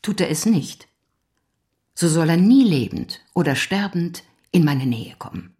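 An actress playing a proud, cold upper-class mother in a radio drama, formal and controlled, with a husky voice. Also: lowish voice, expressive, a little slow, mellow. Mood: bitter, proud.

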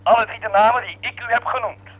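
An actor playing a defiant young worker in a radio drama, accusing and sarcastic, bitter at being betrayed. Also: male voice, very high voice, quick pace, highs cut off.

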